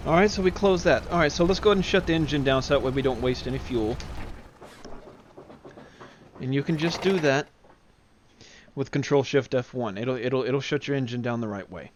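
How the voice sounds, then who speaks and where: Male tutorial presenter, informal, step-by-step, mid-pitched, faint noise behind. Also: a little fast, pauses often. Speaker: demonstrator. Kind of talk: casual software tutorial. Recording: clear background noise of simulator ambience. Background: flight simulator background sounds.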